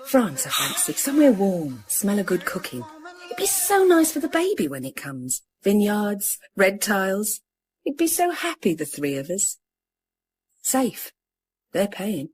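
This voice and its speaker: A woman's voice: medium pitch, huge pitch swings, measured pace, darkish tone. An actress playing the anxious, yearning girlfriend of a criminal in a radio crime drama, wistful and pleading. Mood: wistful longing.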